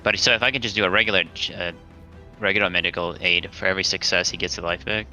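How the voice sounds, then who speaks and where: A man's voice: sits low, fairly even intonation, fast, pushed and bright, soft background music, poor audio. A man playing a tabletop roleplaying game, chatting casually as he works out his moves.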